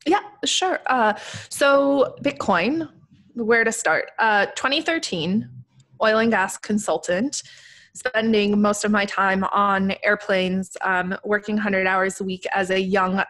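A woman's voice: mid-range voice, a bit bright. A woman in her thirties, raised in the Netherlands with Dutch and Turkish as first languages, speaking fluently and articulately as a podcast guest over a video call from a home room. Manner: articulate, structured, quick and fluent. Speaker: guest, crypto investor. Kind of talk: casual podcast interview. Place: home room with brick wall. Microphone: desktop studio mic on video call.

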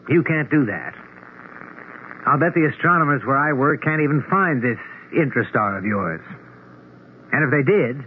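A man acting a blunt, skeptical friend with a dry, sardonic manner in a 1970s radio drama. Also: fairly high pitch. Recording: tinny, noisy old radio broadcast.

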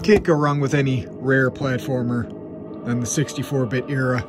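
A man with a mid-range voice talks through game picks in a casual, upbeat way. He sounds a little stuffed up from congestion. Clear background music plays under his voice. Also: medium pitch, flat delivery. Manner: upbeat, chatty, quick asides. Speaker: gaming channel host. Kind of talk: casual game recommendations to camera. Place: room with game shelves, indoors.

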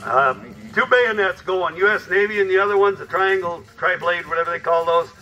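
A man's voice: high-pitched, a little fast, lo-fi sound, a little hiss or hum. A man working as an auctioneer, calling bids in a clipped, repetitive chant at a busy auction. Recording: echoey, distant, loud crowd.

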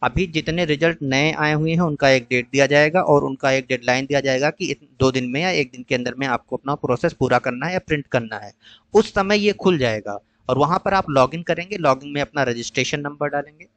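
A man patiently walking viewers through an online counselling process in a screen-share tutorial, pausing often with "uh". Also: a little high, fairly bright tone, no room sound. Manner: patient, explanatory, mixes English phrases with frequent "uh" pauses. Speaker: tutor explaining a college allotment process.

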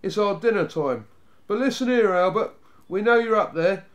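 A man voicing a bossy, rough boy who leads a gang in a children's storybook, with a scornful manner and rough street grammar. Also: high voice, expressive, normal speed, voice pushed up. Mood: taunting. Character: eldest Snarget, bossy sneering rough boy gang leader.

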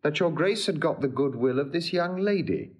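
Guy acting proud witty shakespeare bachelor, blustery and theatrical, highish voice all over the place. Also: expressive, moderate pace, lightly acted. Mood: teasing. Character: witty wounded-proud young bachelor lord, railing at a lady. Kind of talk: classical Shakespeare stage acting.

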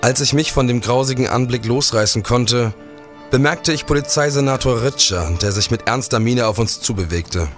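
An actor playing a serious police detective in a period radio play, sober and reflective. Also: male voice, medium pitch, normal speed, soft background music, close to an everyday voice. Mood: sober, shaken. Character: serious male 19th-century police detective recounting his case. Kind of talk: radio play narration and acting.

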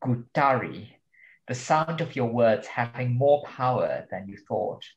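A man's voice: mid-range voice, animated, unhurried, highs cut off. A male translator guest talking about books in a thoughtful, hesitant way with many ums. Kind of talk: casual podcast interview.

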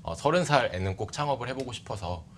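A man in his thirties giving polite, measured explanations as an interview guest. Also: medium pitch, lively intonation, slight background noise. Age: 30s. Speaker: interview guest, startup CEO. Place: bright TV studio. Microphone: lapel mic.

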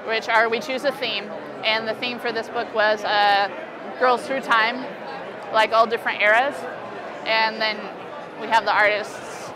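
Busy convention-hall chatter surrounds a young American woman. Her voice sits slightly high, and she explains things informally, like an artist chatting with an interviewer at her booth. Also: steady tone, a little slow. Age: young adult. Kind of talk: casual, informal interview presentation. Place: indoor convention expo booth. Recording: busy crowd noise around the voice. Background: convention hall crowd chatter.

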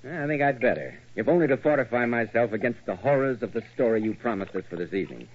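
A man's voice: medium pitch, lively intonation, fast talker, slightly rough. An American man hosting and reading sponsor copy in a polished, emphatic 1940s radio announcer style. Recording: dull, lo-fi old radio recording.

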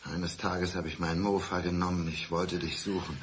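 An actor playing a calm, embittered, menacing ex-husband in a radio crime play, speaking with measured, mock-gentle politeness. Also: male voice, low-pitched, a little hiss or hum, close to an everyday voice. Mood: bitter, brooding. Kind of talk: 1990s German radio crime play acting.

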